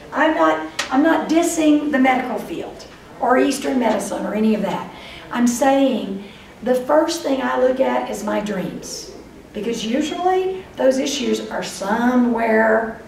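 An older woman with a Texas accent giving a lively, chatty lecture to a live audience, speaking straight to them. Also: sits high, far from the mic. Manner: lively, chatty, addresses audience directly, acts out voices of dreams. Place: meeting room before a whiteboard. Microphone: far camera. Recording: roomy echo, voice from afar.

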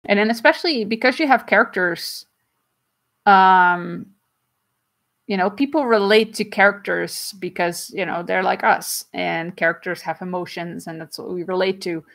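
Woman, 30s, non-native English speaker, livestream host; relaxed, chatty, lots of fillers and laughs, recorded on a webcam in a small home room. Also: medium pitch, creaky. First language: not English. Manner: chatty, frequent "um"s and quick laughs, gestures while explaining. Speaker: comic artist and livestream host. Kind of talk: casual livestream Q&A. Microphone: webcam at desk distance.

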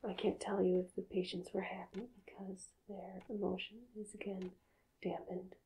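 A woman speaking in a hushed, halting, calm half-whisper, soft-spoken in an ASMR roleplay as a doctor, close to the mic. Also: mid-range voice, slightly rough, poor audio. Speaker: ASMR performer playing a hypnotist doctor.

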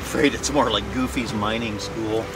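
A middle-aged man casually narrating his gold prospecting on a riverside gravel bar, relaxed and chuckling as he works. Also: fairly low pitch, normal speed, noisy background.

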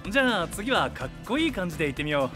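An upbeat photographer in an anime-style drama, calling brisk, coaxing directions. His fairly high voice swings widely, over music. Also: sing-song. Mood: upbeat, encouraging. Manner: brisk, encouraging.

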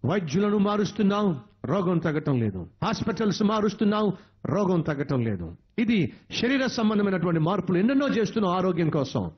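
A man preaching a sermon, emotional and repetitive, with dramatic swings in pitch. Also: sing-song, a little fast, slightly rough, muffled recording.

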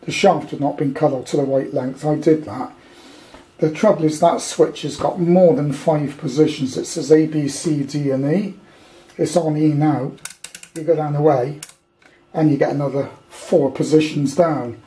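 An older British man with a fairly high-set, soft-edged voice. He talks off the cuff in a casual hands-on demonstration, wandering from point to point with blunt grumbles. The tone stays dark and relaxed. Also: moderate pace. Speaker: amateur radio hobbyist presenting a kit. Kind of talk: casual unscripted show-and-tell. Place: indoors at a tabletop at home.

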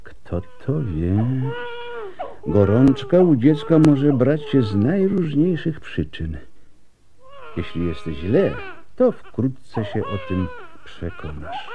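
Slow, weary, blunt doctor. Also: male voice, mid-range voice, sing-song, narrow, tinny audio, crowd noise. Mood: grave. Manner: deliberate, sighing, blunt. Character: weary, bitter male doctor in plague times, bluntly honest. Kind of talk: radio drama acting.

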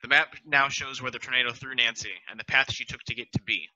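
Bright, dry-voiced man reading stage directions. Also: medium pitch, steady tone, fast, no room sound, highs cut off. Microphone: headset.

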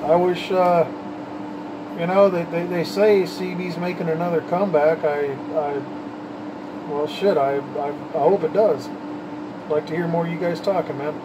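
Folksy higher-voiced man chatting over noise. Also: steady tone, unhurried. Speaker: CB radio operator, channel host. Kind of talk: casual CB radio chat. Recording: background hum and noise.

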